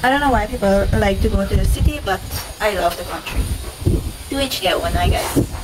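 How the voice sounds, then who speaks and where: A woman's voice: medium pitch, animated, a little fast. Young woman, Trinidadian accent, chatty vlog answers, noisy background. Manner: chatty, repeats herself, answers her own questions. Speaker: vlogger. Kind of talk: casual Q&A vlog. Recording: noisy home recording.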